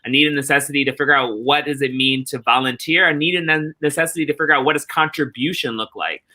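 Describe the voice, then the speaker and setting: A man giving reflective interview answers as a theatre director, earnest and deliberate, repeating phrases rhythmically for emphasis. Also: fairly high pitch, pushed and bright.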